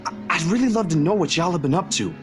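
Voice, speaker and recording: A folksy, laid-back peacemaker. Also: male voice, high-pitched, animated, fast, over music. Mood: soothing. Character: easygoing peacemaking young man.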